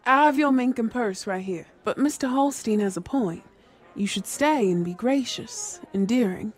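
A woman's voice: a little high, normal speed, natural voice. An actress playing a loyal, tactful female assistant in a period radio drama, speaking in a proper, deferential, articulate way. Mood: composed, persuasive.

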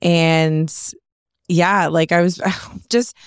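A young woman with a Canadian accent and a raspy voice, chatting casually as an interview guest in a studio. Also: on the low side, fairly even intonation, very slow, no echo. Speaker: interview guest, rapper and songwriter. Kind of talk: casual chat.

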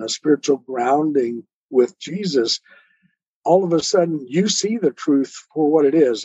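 Mellow, slightly husky man in muffled audio. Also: on the high side. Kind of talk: earnest spiritual explanation in an interview. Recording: muffled, roomy, lo-fi sound.

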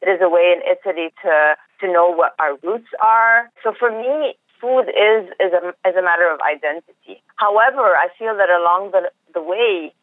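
A woman from Egypt heard over a narrow, phone-like connection with little room sound. She speaks as an interview guest, telling personal stories conversationally in a fairly low voice for a woman. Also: moderate pace, hardly any pauses, dry recording. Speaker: interview guest, food systems researcher.

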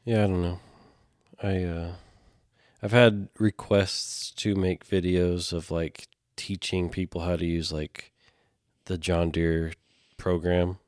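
Hesitant, very low-voiced man. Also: flat delivery, slow, a little mellow, no room sound, studio quality. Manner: hesitant, many fillers and trailing off. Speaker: podcast host, mechanic. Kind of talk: casual podcast chat.

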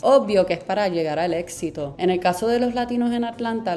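A young woman from Puerto Rico with a Puerto Rican accent, telling stories in a casual, animated vlog monologue and dropping English phrases into her talk. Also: lowish voice. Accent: Puerto Rican Spanish. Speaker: vlogger.

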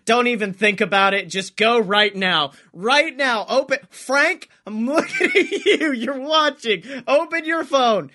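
A man co-hosting a podcast, chatting casually and comedically in an animated, playful, sweary way. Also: extremely high pitch, a little slow, hardly any pauses. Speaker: podcast co-host.

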